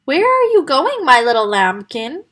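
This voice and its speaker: A woman's voice: sits high, huge pitch swings, normal speed, a bit bright, full character voice. A woman voicing an old, fussing mother wolf with a shaky voice. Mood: doting.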